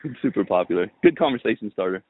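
A man in his thirties, Romanian-born and raised in California, answering interview questions in a relaxed, conversational way. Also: fairly low pitch, fast, narrow, tinny audio, slight background noise. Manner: relaxed, conversational, many fillers. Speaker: guest, business owner.

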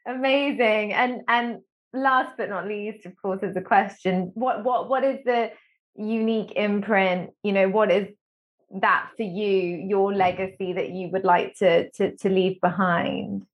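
A woman hosting an interview, encouraging and effusive, asking questions in halting starts. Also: mid-range voice, fairly even intonation, dull audio. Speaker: podcast interviewer. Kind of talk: casual interview talk.

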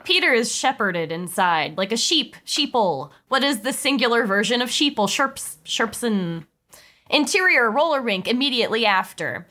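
High, bright, animated woman narrator. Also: moderate pace. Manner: playful asides. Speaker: podcast host and script narrator. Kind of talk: lively comedic script narration.

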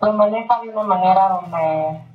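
A woman's voice: medium pitch, normal speed. A woman from Mexico, answering interview questions hesitantly and mixing in English phrases. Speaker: interview guest, nutritionist. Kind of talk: casual conversation. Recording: echoey, distant, muffled, faint music.

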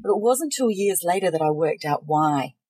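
A woman's voice: on the low side, animated, fairly quick, dark tone, breathy edge, no echo, clean studio sound. A woman with an Australian accent co-hosting a casual chat, talking in a confiding, earnest way. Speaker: co-host. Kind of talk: casual chat between co-hosts.

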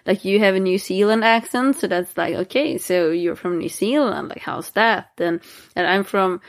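A woman's voice: medium pitch, moderate pace. A woman from Sweden with a Swedish accent in casual conversation, speaking hesitantly with many restarts and fillers.

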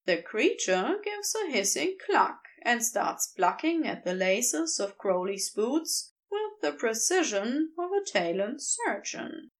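A woman reading a fan story aloud as narrator, in an expressive, unhurried manner. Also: on the high side. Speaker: podfic reader.

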